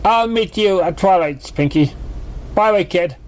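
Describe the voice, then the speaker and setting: A man puts on a vain female pony stage magician, pitched well up. She speaks with grand, theatrical self-importance and self-pity, like a storybook show-off. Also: normal speed, close to an everyday voice. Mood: haughty.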